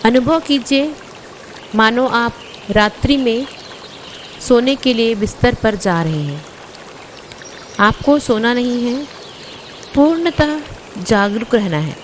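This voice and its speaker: A woman guiding a yoga nidra meditation as an instructor, giving measured instructions in short phrases with pauses. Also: a little high, lively intonation, a little hiss or hum.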